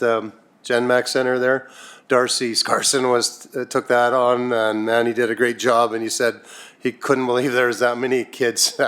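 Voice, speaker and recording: A man from Canada chairs a rural council meeting, speaking into a desk microphone in the chamber. He rambles in a folksy, unpolished way, trailing off and restarting his thoughts. His voice sits at an ordinary middle pitch for a man. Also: a bit bright. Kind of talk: casual spoken council report.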